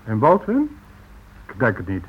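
An actor playing a confident, formal business partner in a radio play, with a placating manner. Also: male voice, lowish voice, wildly swooping pitch, measured pace, husky, heavily acted. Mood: assured. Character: smooth, confident, dismissive business partner, a financier. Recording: dull old radio recording.

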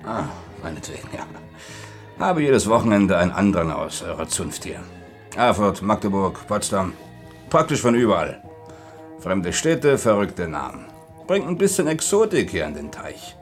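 An actor playing a talkative, jovial, businesslike pub manager in a radio play, with a folksy way of talking. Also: male voice, sits low, big pitch swings, normal speed, faint music behind. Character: chatty, businesslike male lakeside pub manager. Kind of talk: naturalistic radio drama dialogue.

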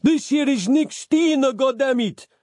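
Brash, high-pitched street maverick. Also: male voice, expressive, moderate pace, no echo, studio quality. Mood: outraged. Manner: blustering, indignant, forceful. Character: brash, loud, burned-out street maverick with thick moustache. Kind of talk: audiobook character voice.

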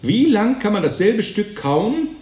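A restless, sardonic inner voice in a radio play. He is fairly high and very animated, echoing in a roomy space. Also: a little slow. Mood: critical. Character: restless, critical male inner voice of a writer. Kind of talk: German radio play monologue.

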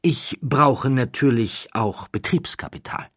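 A man's voice: mid-range voice, a little slow, fairly bright tone, husky, no room sound, studio quality, voice pushed up. A man voicing a suave con artist who poses politely as a naive aristocratic count in an audiobook. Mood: politely eager.

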